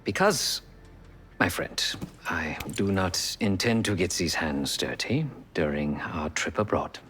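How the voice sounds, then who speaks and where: An actor playing a fussy, courteous, sly tech expert in a video game, speaking formally and theatrically with precise diction. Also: male voice, deep voice, animated, slow, a bit bright, husky, exaggerated voice. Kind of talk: video game cutscene acting.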